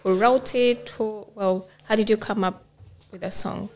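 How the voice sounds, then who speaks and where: A woman hosts a casual podcast interview. She chats in an upbeat, encouraging way, with a voice of ordinary pitch. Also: steady tone, measured pace, a little mellow. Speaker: podcast host.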